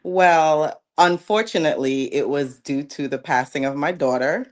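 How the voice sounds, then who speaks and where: A woman from Jamaica with a low voice and a Jamaican accent that slips through now and then. She answers interview questions in a thoughtful, agreeable way. She sounds like she is in her forties. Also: normal speed. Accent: Jamaican, coming through now and then. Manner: warm, reflective, often says "absolutely" and "thank you". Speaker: guest, plus-size model. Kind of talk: casual interview chat.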